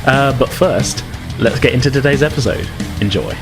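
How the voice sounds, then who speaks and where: A man's voice: medium pitch, expressive, moderate pace, over loud music. A man hosting and narrating an improvised tabletop role-playing game as game master. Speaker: game master and podcast host.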